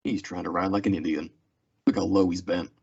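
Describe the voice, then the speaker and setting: A man's voice: sits low, fairly even intonation, fairly quick, creaky, darkish tone, husky, heavily acted. A man acting a weary, stoic frontier marshal in an old-time radio western drama. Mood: grave. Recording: dull, lo-fi audio.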